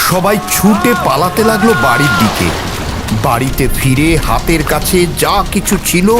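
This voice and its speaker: A man narrating a scripted story in a theatrical, suspenseful way, with music and storm ambience behind. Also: on the high side.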